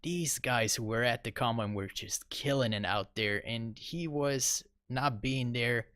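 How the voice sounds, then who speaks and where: A man's voice: medium pitch, unhurried, a bit bright, no echo, studio quality. A young man co-hosting a sports podcast, giving casual, rambling analysis with lots of fillers. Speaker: podcast co-host.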